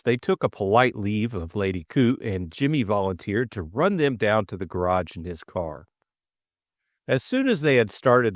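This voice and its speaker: A man narrating a scripted story as an audiobook, measured and expressive. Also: medium pitch, no room sound, clean studio sound.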